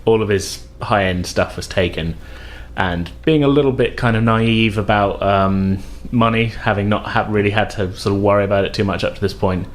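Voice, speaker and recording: A man's voice: lowish voice, fairly even intonation, moderate pace, hardly any pauses. A man playing a tabletop role-playing game, telling his character's story casually in a hesitant, rambling way.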